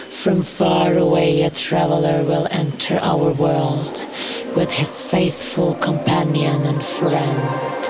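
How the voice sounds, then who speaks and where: A gentle, solemn forest being from a fantasy audio story, played by a woman. The voice is slightly low, measured and very slow, with a reverent calm. Loud music runs underneath. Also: rough recording.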